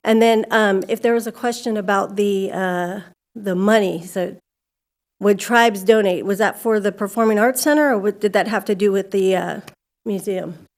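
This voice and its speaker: Middle-aged woman at the podium mic, candid and chatty, gets emphatic, mid pitch. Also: normal speed. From California. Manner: candid, conversational, grows emphatic. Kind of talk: public comment at a school board meeting. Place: civic center meeting hall.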